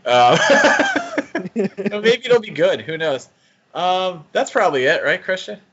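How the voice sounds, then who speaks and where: A man's voice: high voice, animated, unhurried, a bit bright, highs cut off. A man co-hosting a podcast in casual chat, with an easygoing manner and joking asides. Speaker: podcast co-host.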